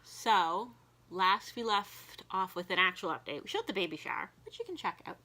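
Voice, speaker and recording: A woman's voice: medium pitch, sing-song, moderate pace, sharp tone. A young woman co-hosting a vlog, playful and teasing, explaining things casually and sometimes dropping into a whisper. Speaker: vlog co-host. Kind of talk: casual chat.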